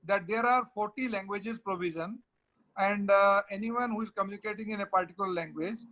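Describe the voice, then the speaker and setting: An Indian man with a fairly high voice hosts an online webinar, talking fast and moving briskly from point to point. He explains a virtual trade fair and steers a colleague through a live walkthrough. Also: pushed and bright, rough recording. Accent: Indian English. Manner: directs a colleague, switches between English and Hindi. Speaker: webinar host from the organizing body.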